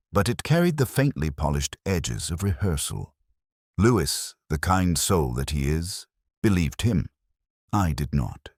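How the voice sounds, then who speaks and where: A man reading a scripted first-person detective story in a measured, literary, brooding way. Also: rumbling low, dry recording, studio quality. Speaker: narrator.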